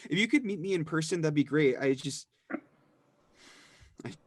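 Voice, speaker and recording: A man playing a troubled young man in a drama reading, speaking haltingly and downcast as if on a payphone. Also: on the high side, expressive, no echo. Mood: troubled, hesitant.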